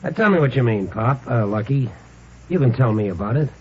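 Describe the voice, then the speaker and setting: An actor playing a wry, probing reporter hero in a 1950s radio crime drama, casual in manner. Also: male voice, medium pitch, animated, brisk, lightly acted. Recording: dull, noisy old radio recording.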